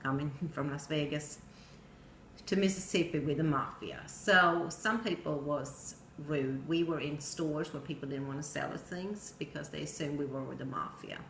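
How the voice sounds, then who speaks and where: A middle-aged woman from Sweden with a Swedish accent, telling a personal story in plain storytelling with pauses and some non-native grammar. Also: low voice, creaky, fairly bright tone. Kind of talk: personal narrative speech. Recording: echoey room with faint noise.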